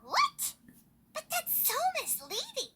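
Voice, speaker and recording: A woman voicing a tiny, excitable, childlike fairy companion in a video game, squeaky and quick to take offence. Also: background noise, heavily acted. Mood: indignant surprise.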